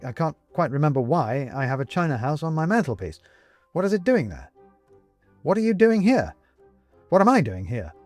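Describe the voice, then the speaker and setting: A man voicing a confused, agitated gentleman who keeps losing his memory, stammering and growing frantic. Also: on the high side, dry recording, voice pushed up, lightly acted. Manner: stammering, flustered, demanding. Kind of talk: audiobook character reading.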